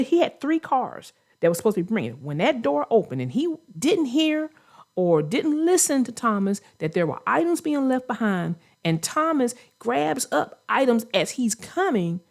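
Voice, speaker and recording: A woman with a fairly high voice and a slow, deliberate pace. She hosts a chat about children's stories for young readers. Her delivery is earnest and emphatic, like someone teaching a lesson. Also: darkish tone. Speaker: host and children's book narrator. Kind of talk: casual discussion of a children's story for young listeners.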